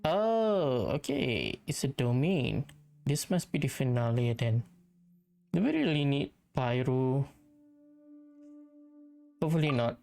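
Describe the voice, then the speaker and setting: A woman's voice: a bass voice, animated, slow, creaky, long pauses, warm tone. A woman giving laid-back, casual let's-play commentary on a video game, muttering reactions and reading lines of dialogue aloud. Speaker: gaming channel host playing through a story quest. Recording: game music behind the voice.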